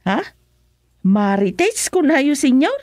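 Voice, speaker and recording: An actress playing a cheeky, gossipy servant woman in a radio comedy-drama, with drawn-out exclamations and an Ilocano accent. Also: high-pitched, sing-song, a little mellow, no echo, studio quality, heavily acted. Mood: mock-offended.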